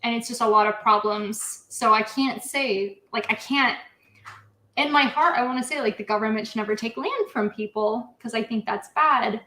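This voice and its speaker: A woman's voice: on the high side, moderate pace, sharp tone, reverberant. A woman from the US Midwest hosting a live stream, chatting casually and laughing often as she talks. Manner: giggly, talks through laughs. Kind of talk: casual chat.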